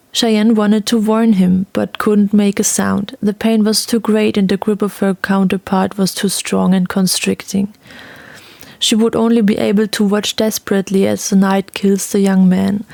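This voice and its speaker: Creaky-voiced woman narrating fantasy. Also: medium pitch, a little mellow, dry recording. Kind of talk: dramatic scripted fantasy audiobook narration.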